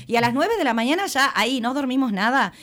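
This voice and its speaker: A woman's voice: sits high, lively intonation, quick pace, a bit bright. A woman with an Argentine accent, a municipal press director, speaking as a radio guest in an enthusiastic, fluent, promotional way. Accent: Argentine Spanish.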